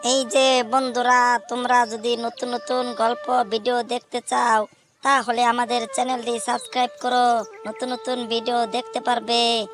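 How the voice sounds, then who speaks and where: Female presenter, scripted address to viewers, high voice, music behind. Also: level tone, measured pace. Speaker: channel presenter.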